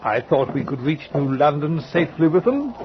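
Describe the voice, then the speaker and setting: Courteous, lively-voiced sea captain. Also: male voice, on the high side, moderate pace. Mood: composed. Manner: formal, courteous, matter-of-fact with small hesitations. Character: polite, composed male sea captain. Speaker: ship's captain. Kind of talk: 1940s radio acting. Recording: dull old radio recording with clear hiss.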